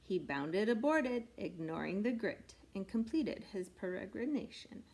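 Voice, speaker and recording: A woman reading a rhyming picture book aloud in an expressive, measured storytelling way meant for children. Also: mid-range voice. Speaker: storybook reader.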